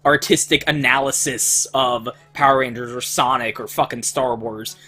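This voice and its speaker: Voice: medium pitch, steady tone, moderate pace, pushed and bright, soft background music. A co-host of a gaming video, chatting casually, swearing often and ranting with heat about art and culture. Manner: swears a lot, rants with heat. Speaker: let's play co-host. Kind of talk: casual chat while gaming.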